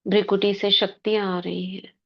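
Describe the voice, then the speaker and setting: A woman leading a guided spiritual meditation, speaking in short, measured devotional phrases with pauses between them. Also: mid-range voice, level tone, creaky, highs cut off. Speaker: meditation leader.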